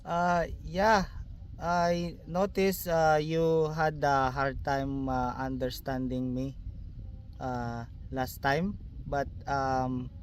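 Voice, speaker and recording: A man with a Filipino accent, whose first language is Tagalog, playing an earnest customer who speaks haltingly with many pauses and fillers. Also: medium pitch, level tone, slight background noise. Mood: hesitant. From Philippines. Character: shy male customer learning English, earnest. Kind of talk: amateur short film acting.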